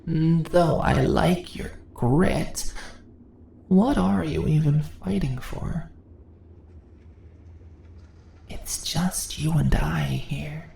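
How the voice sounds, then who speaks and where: A woman's voice: low voice, slow, vocal fry, mellow, exaggerated voice. A woman playing a seductive, dominant spider-woman monster in a fantasy ASMR roleplay, teasing her captured prey in a purring voice that often drops into whispers. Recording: roomy echo, faint background noise.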